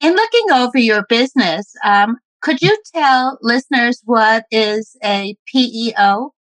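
A woman host with a slightly high voice reads a guest's bio, then asks clear, measured questions. Her sound is dry and close to the mic. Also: animated, fairly bright tone, no echo, low-quality recording. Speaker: interview host. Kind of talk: reading an introduction, then asking questions.

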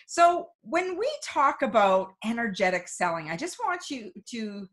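A woman's voice: fairly high pitch, sing-song, a little slow, a bit bright. A woman hosts a podcast and introduces her guest in an upbeat, read-through manner. She then asks her questions in a friendly, conversational tone. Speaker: podcast host. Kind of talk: interview hosting.

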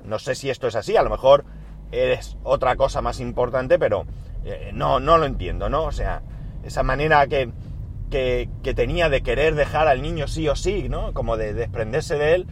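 A man, speaking at a medium pitch, records a casual audio diary inside his car. His throat is sore, and he clears it now and then. He thinks out loud with plenty of fillers and stops to correct himself. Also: animated, moderate pace, slight background noise. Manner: rambling, self-correcting, thinks aloud with many fillers. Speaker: podcast host recording a daily audio diary. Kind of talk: casual spoken diary monologue.

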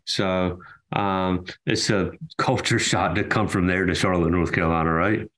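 A man hosts the interview in a fairly low voice. He hesitates often, padding his questions with ums, and steers the guest with leading prompts. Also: steady tone, unhurried, a bit bright. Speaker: interviewer, host. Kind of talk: casual interview.

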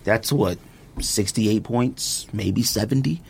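A man's voice: lowish voice, slow, gravelly, breathy edge. A man debating sports casually as a podcast co-host, argumentative and slangy, repeating his points emphatically.

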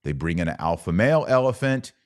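A man hosting a live podcast, giving religious commentary and answering comments in an emphatic, didactic yet conversational way with deliberate pauses. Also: deep voice, sing-song.

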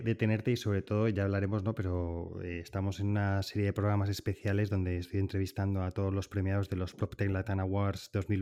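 A man's voice: sits low, warm tone. A man hosting a podcast interview, friendly and chatty, introducing and prompting his guest and laughing easily. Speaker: podcast host.

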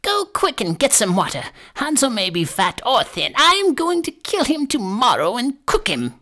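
Shrill, wildly swooping old witch. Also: wildly swooping pitch, a bit bright, exaggerated voice. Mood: harsh. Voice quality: shrill, cackling. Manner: drawn-out, mocking, swooping delivery. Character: shrill old witch, sly, gloating, cruel, falsely sweet.

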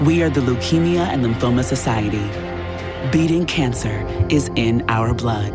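An announcer with a mid-range voice reads a charity advertisement with firm resolve. Loud music nearly fills the space around the voice. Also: medium pitch, moderate pace. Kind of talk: scripted public service announcement. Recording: loud music bed under voice.

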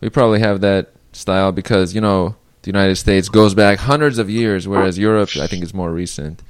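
A man hosts a relaxed interview and riffs jokingly between questions. His voice is low and smooth, with a crisp resonance. The sound is close and dry, with no room echo. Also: brisk. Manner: joking, rambling. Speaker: podcast host. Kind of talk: casual interview chat.

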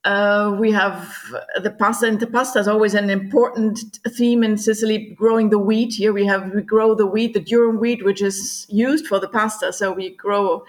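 A middle-aged woman from Germany with a German accent, a tour guide talking as a podcast guest, laughing often and pausing on fillers. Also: medium pitch, steady tone. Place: home in Sicily, remote call.

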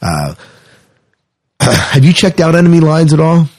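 A man's voice: on the high side, big pitch swings, takes it slowly, darkish tone, a little hiss or hum. A middle-aged man chatting casually as the host of a podcast.

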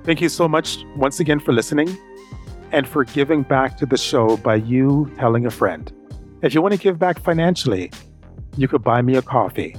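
Man, middle pitch, podcast host, addressing guest and listeners, faint music behind. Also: expressive, normal speed. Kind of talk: podcast hosting and scripted outro.

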